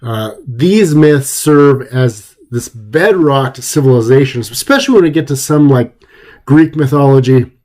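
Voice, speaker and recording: A man giving an informal introductory lecture in a conversational, somewhat halting way. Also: medium pitch, expressive, darkish tone.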